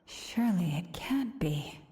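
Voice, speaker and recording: An earnest young lady in a game, gentle mellow voice, polite and careful, faint music. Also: lowish voice, expressive, takes it slowly. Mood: earnest, wary. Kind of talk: video game character acting.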